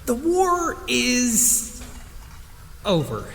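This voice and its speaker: Male lead for audio drama: nervous everyman, high, animated, halting delivery, echoey cavern. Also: creaky, slight background noise, heavily acted. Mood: nervous, confessing. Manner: hesitant, halting then blurting. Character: nervous, awkward, honest everyman, anxious to please. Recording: long echoey reverb, music underneath.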